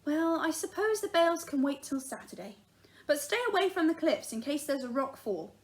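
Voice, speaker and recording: A busy farmer mum from a storybook, played by a woman reader. She is firm, practical and caring, with a brisk delivery and a raised, lively pitch. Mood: relenting, cautioning.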